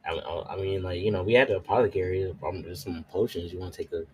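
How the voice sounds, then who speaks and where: A man's voice: low-pitched, quick pace, crowd noise, low-quality recording. A man playing a tabletop roleplaying game, chatting casually and hesitantly as he thinks aloud. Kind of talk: casual chat during a game.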